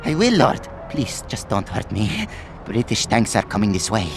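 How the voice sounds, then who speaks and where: An actor playing a servile, self-pitying desert trader with a pleading, theatrical manner in a video game cutscene. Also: male voice, lowish voice, sing-song, normal speed. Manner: fawning, pleading, melodramatic. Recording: music behind the voice.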